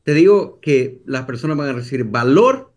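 A man's voice: medium pitch, lively intonation, moderate pace, darkish tone. A man with a Salvadoran accent telling his story as a podcast guest, repeating phrases and adding tag questions. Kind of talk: conversational personal storytelling.

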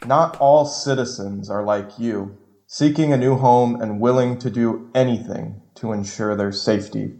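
An actor playing a solemn government enforcer who addresses recruits in a grave, formal, dramatic manner in an audio drama. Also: male voice, mid-range voice, unhurried, mellow, lightly acted. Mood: grave warning.

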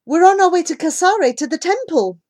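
A woman's voice: very high-pitched, expressive, a little fast, darkish tone, voice pushed up. A woman voicing a desperate young child traveller, speaking in an urgent, pleading, emphatic way in an audiobook story. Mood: earnest, proud.

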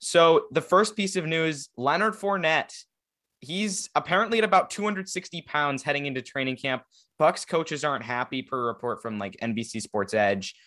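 A man's voice: a little high, moderate pace, fairly bright tone. A young man hosting a sports podcast in a casual, rambling chat with a guest. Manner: rambling, filler-heavy, conversational. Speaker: podcast host.

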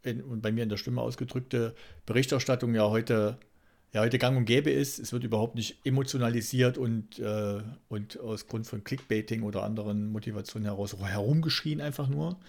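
Male co-host, creaky mid-pitched voice, dry irony, rambling casual banter. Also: fairly even intonation, fairly quick, a little mellow. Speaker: podcast co-host.

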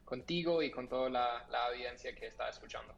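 A man's voice: medium pitch, fairly quick, vocal fry, highs cut off. A man from the United States with an American accent, a startup founder speaking as a podcast guest in Spanish, hesitant with many fillers and restarts. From Los Angeles, United States. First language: English. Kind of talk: podcast interview.

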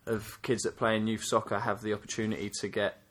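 A man's voice: fairly low pitch, quick pace. A man who coaches youth soccer, co-hosting a casual chat, teasing his partner and then explaining things steadily. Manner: playful, teasing; explanatory, steady when giving advice.

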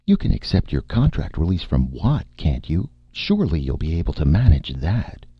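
A man's voice: rumbling low, very animated, moderate pace, breathy edge, no room sound, dull audio, pitched above the performer's own voice, exaggerated voice. A man voicing a cowardly, easily panicked man in a story, with hesitant, stammering delivery and shaky bravado. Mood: pleading.

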